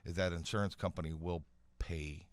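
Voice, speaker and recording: A man speaking informally as an expert guest in a radio interview, explaining haltingly, repeating phrases and often checking for agreement. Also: low voice, fairly even intonation, no room sound. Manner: hesitant explaining, repeats phrases, seeks agreement with "right?" and "you know". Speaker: guest expert on home accessibility. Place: radio studio.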